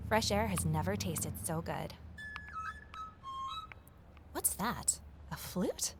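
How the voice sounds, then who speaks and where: A woman's voice: fairly low pitch, lively intonation, slow pace, loud music behind the voice. An actress playing a wry, curious office worker who narrates her own story in an audio drama. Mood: surprised. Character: wry, curious female former journalist, new office assistant. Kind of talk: audio drama, first-person narration and dialogue.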